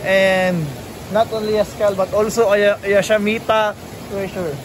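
High-voiced playful Filipino man. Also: level tone, moderate pace. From Philippines. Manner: playful, teasing, repeats words. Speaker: vlogger. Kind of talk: casual vlog chat. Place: outdoors at a waterfall and forest. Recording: noisy outdoor camera audio.

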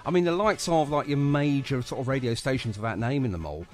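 A man hosting a casual music radio interview, chummy and quick to agree with his guest. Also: mid-range voice, darkish tone. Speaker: radio show host, interviewer.

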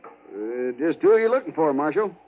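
An American actor playing a folksy, talkative buffalo hunter with rustic frontier speech in a 1950s radio western. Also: male voice, on the high side, big pitch swings, moderate pace. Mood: chatty. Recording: tinny, noisy 1950s radio recording.

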